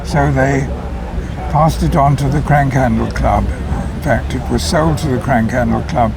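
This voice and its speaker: A man's voice: medium pitch, unhurried, vocal fry. An older man with an English accent, explaining things in a hesitant, understated way in an outdoor interview. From England. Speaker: interview guest, classic car club member. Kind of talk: casual interview. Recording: outdoor event with crowd noise behind.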